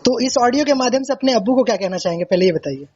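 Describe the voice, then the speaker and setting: Male interviewer, high husky voice, effusive and repetitive, conversational, muffled call-recording sound. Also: lively intonation, racing, darkish tone. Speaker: interviewer, radio-style host. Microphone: recorded phone call. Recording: dull, lo-fi phone call recording.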